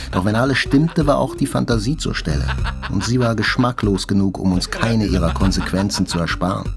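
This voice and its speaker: An actor playing a reflective, haunted journalist, narrating a literary audio drama in a deliberate, introspective manner. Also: male voice, on the low side, gravelly. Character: reflective, haunted male journalist recounting an attack he survived.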